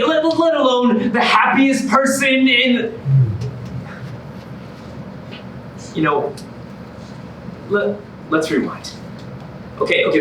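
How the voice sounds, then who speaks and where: A man's voice: high-pitched, fairly bright tone, a little hiss or hum, full character voice. A man playing a gloomy, defensive boyfriend with a morbid streak, theatrical in a staged musical comedy reading. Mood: exasperated, then smug scene-setting. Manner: theatrical, halting when worked up. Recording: echoey room, distant mic, music behind.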